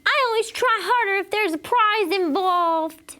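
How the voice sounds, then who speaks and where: A woman's voice: very high voice, unhurried, sharp tone, voice pushed up, full character voice. A woman voicing a pushy, skeptical first-grade boy in a children's storybook, blunt and questioning. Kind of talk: children's storybook read-aloud.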